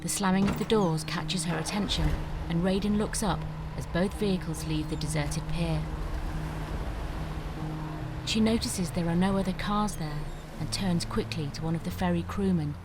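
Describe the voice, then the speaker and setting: A woman's voice: fairly low pitch, moderate pace, over loud music. A woman reading scripted screenplay stage directions as the narrator of an audio drama.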